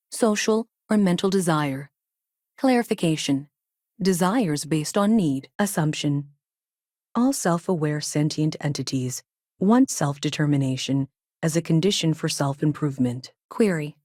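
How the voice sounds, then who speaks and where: A woman playing an emotionless, logical female AI space probe in a video game, speaking in a clipped, formal, deadpan way. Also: sits low, normal speed, dry recording, clean studio sound. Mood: slow, measured. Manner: clipped, formal, deadpan, announces each statement type first. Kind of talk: scripted game dialogue.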